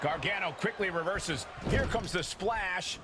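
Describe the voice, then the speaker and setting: A man playing an excited play-by-play wrestling announcer in a video game. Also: high-pitched, a little slow. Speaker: commentator. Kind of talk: sports commentary in a game. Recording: very noisy arena commentary.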